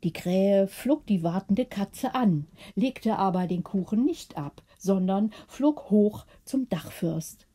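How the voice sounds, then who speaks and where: A woman's voice: mid-range voice, expressive, slow, hardly any pauses, dark tone, breathy edge. A woman reading a bedtime story as a scripted audiobook narration.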